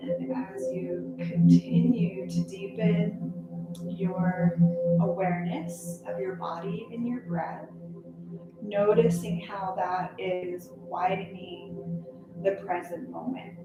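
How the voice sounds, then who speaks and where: A woman guiding a meditation as a yoga nidra instructor, speaking gently and leaving unhurried pauses between phrases. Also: mid-range voice, muffled recording. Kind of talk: guided meditation. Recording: echoey, distant sound with loud music. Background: loud music.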